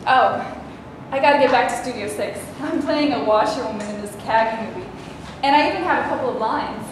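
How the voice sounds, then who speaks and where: A woman's voice: fairly high pitch, fairly quick, close to an everyday voice. A woman playing a haughty, tactless bit-part actress in a staged play reading, snide and brash. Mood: brisk. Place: indoor room, live staged reading. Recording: distant mic, echoey noisy room.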